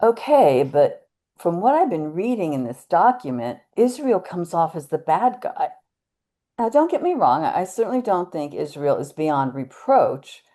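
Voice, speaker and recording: Woman playing a mellow, expressive, hesitant churchgoer. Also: lowish voice, measured pace. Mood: questioning. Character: hesitant, concerned American churchgoing woman with doubts. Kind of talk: readers theater dialogue, conversational.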